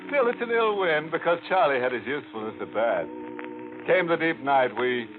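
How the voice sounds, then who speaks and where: Slow, macabre radio horror host. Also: male voice, mid-range voice, background noise. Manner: sly, theatrical, deliberate. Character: gleefully macabre male horror storyteller. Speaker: horror show host and narrator. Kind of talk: 1940s radio horror narration. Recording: tinny vintage radio, music behind.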